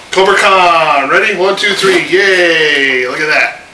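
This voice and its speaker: A man's voice: fairly high pitch, takes it slowly, bright, forceful tone. Man with a cold, rambling casually. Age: 30s. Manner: chatty, rambling with fillers. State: has a cold, voice a little off. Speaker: host. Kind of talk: casual home video chat. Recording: echoey room, distant mic, air conditioner hum.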